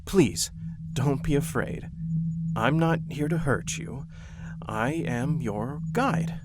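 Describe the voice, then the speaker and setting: An actor playing a gentle male guide who leads souls to the afterworld, speaking softly and hesitantly to reassure. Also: mid-range voice, slow, background noise, natural voice. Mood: reassuring. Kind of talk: horror audio roleplay.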